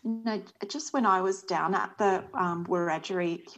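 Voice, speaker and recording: Soft-spoken Australian woman on a video call. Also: medium pitch, moderate pace, muffled recording. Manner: earnest, thoughtful, soft-spoken. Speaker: panel guest. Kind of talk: reflective panel talk. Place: small indoor room. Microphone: video call webcam.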